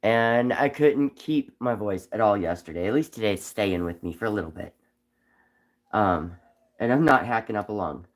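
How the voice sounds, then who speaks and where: A man with a cold and a raspy voice, chatting casually on a live stream while whittling wood, rambling with frequent ums. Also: fairly low pitch, expressive, normal speed. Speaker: livestream host whittling wood.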